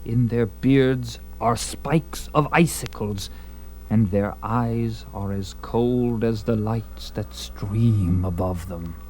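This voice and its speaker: A man's voice: fairly low pitch, slow pace, a little mellow, low-quality recording. A man narrating a dramatized story in an intense, theatrical and portentous manner. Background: prominent music.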